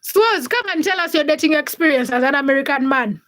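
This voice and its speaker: A young woman from Uganda and Kenya hosts a casual livestream with a high, light voice. She chats and teases as she reads out viewers' comments, greeting people by name and pulling them into the talk. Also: expressive, fairly quick, fairly bright tone. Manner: chatty, teasing, addresses viewers by name. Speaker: livestream host.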